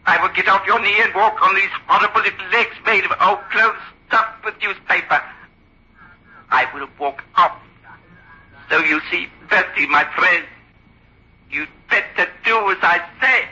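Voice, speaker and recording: A piercing, high-set little dummy in an old radio horror play. He schemes and taunts with open menace, raspy through a dull, lo-fi recording. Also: moderate pace, pushed and bright, heavily acted. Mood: menacing, taunting. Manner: taunting, threatening. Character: malevolent ventriloquist's dummy, small scheming male doll.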